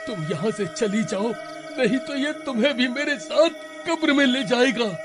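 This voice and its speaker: A man voicing an excitable, easily terrified husband in a cartoon horror story, with wailing, exclamatory delivery. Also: very high voice, normal speed. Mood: despairing. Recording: music behind the voice.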